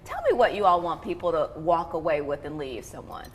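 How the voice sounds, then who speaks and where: A woman's voice: low-pitched, lively intonation, a little fast. A woman hosting a conversational community TV interview. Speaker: talk show host. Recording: echoey hall reverb with background noise.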